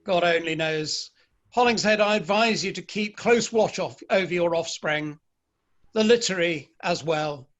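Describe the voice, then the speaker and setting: A man's voice: high-pitched, measured pace, fairly bright tone, highs cut off. A man playing an exasperated, bitter Victorian author and father in a dramatic play reading. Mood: bitter, rueful.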